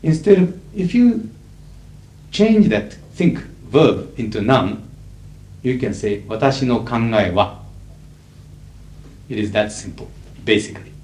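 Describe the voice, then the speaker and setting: A middle-aged man with a Japanese accent, a native Japanese speaker, lecturing as an interpreter in a measured, emphatic way with frequent fillers. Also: mid-range voice, expressive, darkish tone. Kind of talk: lecture to an audience. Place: lecture hall. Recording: echoey 1980s hall recording with faint hiss.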